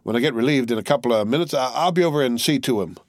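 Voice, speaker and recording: A man voicing a loud, anxious young soldier on sentry who speaks in quick rustic dialect. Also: mid-range voice, no echo, studio quality, voice pushed up, lightly acted. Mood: eager.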